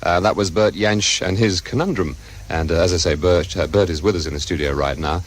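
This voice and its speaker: A man's voice: low-pitched, fairly quick. A man hosting a radio interview in a studio, chatty and affable, steering the guest with easy questions. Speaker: radio host.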